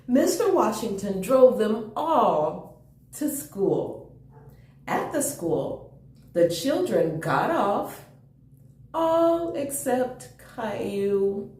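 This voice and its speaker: A woman reading a children's story aloud with enthusiastic, expressive delivery and chatty asides to her young listeners. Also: on the high side, extremely slow. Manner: expressive, enthusiastic, chuckles often. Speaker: storytime reader. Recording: roomy, echoing room.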